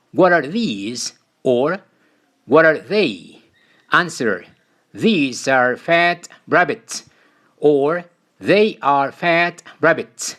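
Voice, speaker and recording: Very slow-paced man reading lesson phrases. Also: fairly high pitch, a bit bright. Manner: deliberate, repetitive phrase-by-phrase delivery. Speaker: English teacher. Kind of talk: scripted beginner language lesson, reading example sentences.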